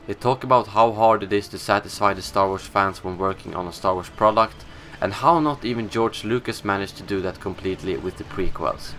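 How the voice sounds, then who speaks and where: A man's voice: on the low side, brisk, hardly any pauses, fairly bright tone, slight background noise. A young man whose first language is Swedish, hosting a casual, opinionated gaming news show in a scripted style.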